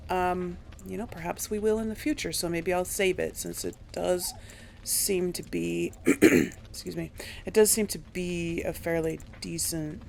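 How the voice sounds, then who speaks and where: A woman's voice: lowish voice, vocal fry, a little hiss or hum. A woman giving casual commentary as she plays through a video game as host. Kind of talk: casual let's play commentary.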